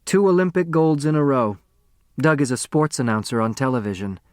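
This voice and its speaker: A man voicing a loyal woman lawyer in an audiobook, matter-of-fact and gently reassuring. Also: medium pitch, lively intonation, a little fast, no echo, clean studio sound, close to an everyday voice. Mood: fond, upbeat.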